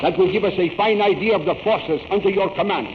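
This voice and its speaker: An older scientist mentor from an old radio serial , acted by a man, with a high-pitched voice. He speaks formally and urgently, fatherly yet shrewd, over a tinny, phone-like recording full of noise. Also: quick pace, natural voice. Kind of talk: 1930s radio serial acting. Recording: tinny, noisy vintage radio broadcast.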